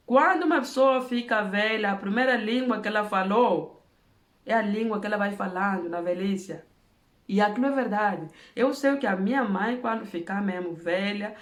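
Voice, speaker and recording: A woman's voice: medium pitch, roomy sound. A woman from Angola with an Angolan Portuguese accent, giving earnest, emphatic advice in a monologue. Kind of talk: impassioned monologue. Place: home in Germany.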